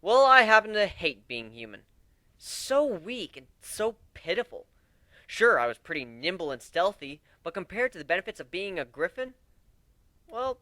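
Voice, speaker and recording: A hot-headed young griffin, acted by a man for a storybook reading. The voice is sarcastic and exclaiming, swinging widely in pitch, and the sound is dry, with no room on it. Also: sharp tone, no room sound, exaggerated voice. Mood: brash, animated. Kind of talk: dramatic reading of fan fiction.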